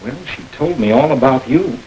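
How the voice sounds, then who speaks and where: A man playing a firm, measured police investigator in a 1940s radio drama, recorded with old radio hiss. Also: mid-range voice, animated, a little mellow, close to an everyday voice, poor audio. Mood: stern. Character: stern middle-aged male police investigator. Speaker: police lieutenant.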